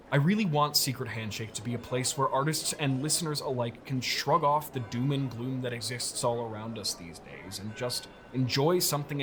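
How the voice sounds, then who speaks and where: A man's voice: mid-range voice, fairly bright tone. Casting: American man, mid-range voice, dry casual delivery, comedic scripted conversation, some background noise. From United States. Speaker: audio drama company founder.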